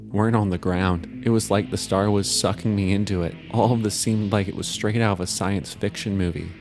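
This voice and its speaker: A man narrating a dramatic first-person story as a frightened, lonely teenage boy, solemn and full of pauses. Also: low voice, creaky.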